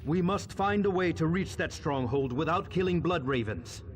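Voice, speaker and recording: Man playing a higher-voiced, earnest soldier. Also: lively intonation, moderate pace, lightly acted. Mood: troubled. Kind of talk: video game voice acting.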